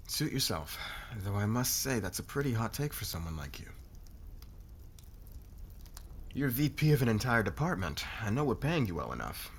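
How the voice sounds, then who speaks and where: A man playing a demanding, calculating corporate boss in a scripted ASMR roleplay, with a sardonic, deliberate, commanding manner. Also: fairly low pitch, very animated, breathy edge, heavily acted. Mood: probing, sardonic.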